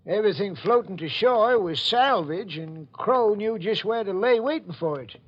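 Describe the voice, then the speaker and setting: An actor playing a folksy old village record keeper with rustic phrasing, in a 1940s radio mystery. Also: male voice, sits high, animated, moderate pace, highs cut off. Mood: chatty reminiscing. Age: older.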